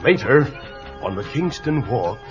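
A man's voice: mid-range voice, very animated, a little slow. A man narrating a children's storybook adventure in a dramatic, urgent way. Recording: dull lo-fi tape with music.

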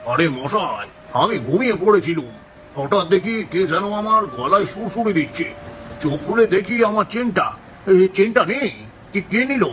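A man's voice: sits high, animated, a little slow, fairly bright tone. A man voicing a flustered, indignant stout man in a cartoon, agitated and repeating his words.

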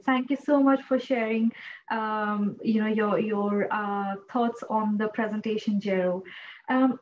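A woman's voice: a little high, darkish tone. A woman moderating a webinar Q&A, polite and a little hesitant, with many fillers, on a video call. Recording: dull video-call audio, faint noise.

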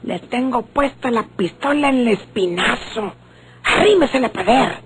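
Bold, animated outlaw woman. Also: a little high, unhurried. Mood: defiant, threatening. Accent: Mexican. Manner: commanding, folksy rural speech. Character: bold armed outlaw woman, rustic and defiant. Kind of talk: radio drama acting. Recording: noisy old radio drama recording.

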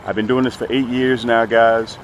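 A man's voice: medium pitch, flat delivery, moderate pace, rough recording. A middle-aged man talking to viewers in a casual, folksy fishing vlog, emphatic and fond of repeating phrases. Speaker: host of a fishing channel. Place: outdoors on a small boat on a lake. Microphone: action camera mounted near him. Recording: outdoor noise, small action camera.

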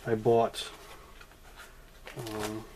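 A man's voice: lowish voice, monotone, extremely slow, noisy background. A man casually talking to camera about his comic book haul, rambling with many ums and restarts, in a room at home. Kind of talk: casual vlog talk to camera.

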